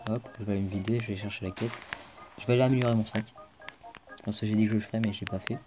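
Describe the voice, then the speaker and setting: A man's voice: fairly low pitch, steady tone, racing, noisy background. A man hosting a casual let's play video, chatting to viewers as he plays, with a stuffed-up cold. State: congested with a cold. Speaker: gaming video host.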